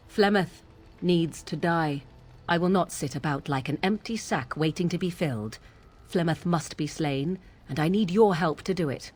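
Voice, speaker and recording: An actress playing a proud, sardonic young witch in a video game, speaking in formal, archaic phrases with haughty deliberation. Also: lowish voice. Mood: cold anger. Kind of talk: dramatic video game dialogue.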